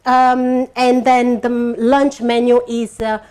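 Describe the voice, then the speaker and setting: A woman's voice: fairly high pitch, level tone, slow, darkish tone, smooth. Hesitant woman, Italian-tinged English. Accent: Italian-influenced English. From India. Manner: hesitant, many fillers and restarts. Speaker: deli owner, interviewee. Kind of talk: casual interview answers. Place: indoors in a new deli.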